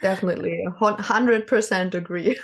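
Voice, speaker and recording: German-accented woman, halting and laughing softly. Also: medium pitch. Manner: hesitant, many fillers and soft laughs. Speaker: podcast guest. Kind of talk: casual conversation.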